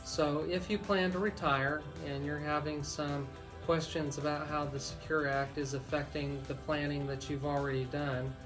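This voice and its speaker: A man's voice: fairly high pitch, flat delivery, moderate pace, over music. An American man hosting live talk radio as an attorney, explaining things and addressing listeners directly. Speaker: radio host and estate planning attorney.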